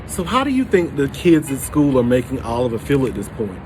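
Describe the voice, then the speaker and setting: A man reading a picture book aloud to children, pausing to put questions to his young listeners. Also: mid-range voice, huge pitch swings, a little mellow, a little hiss or hum, low-quality recording. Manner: pauses to prompt young listeners. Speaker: storybook reader for children.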